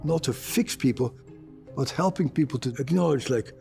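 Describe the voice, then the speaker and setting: Older man with a gravelly voice. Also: mid-range voice, moderate pace, mellow, soft background music. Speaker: psychiatrist. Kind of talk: interview answers, explaining.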